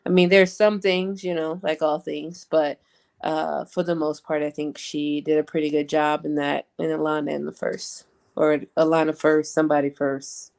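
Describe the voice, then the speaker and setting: A woman chatting casually as a panel guest, deliberate and wry in how she talks. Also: low-pitched, level tone, creaky, a little mellow. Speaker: panel guest on a book live show. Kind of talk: casual chat.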